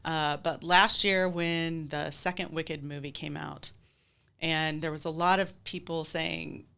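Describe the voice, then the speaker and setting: Bright, clear-voiced woman, lowish pitch. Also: steady tone, unhurried. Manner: enthusiastic, explanatory. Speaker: podcast guest, theater director. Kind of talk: casual interview chat.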